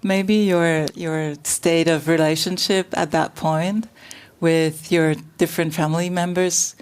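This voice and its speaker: Low-voiced interviewer woman. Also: little pitch movement, a little slow, clean tone. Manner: thoughtful, searching for words. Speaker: interviewer, host. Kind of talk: interview questions.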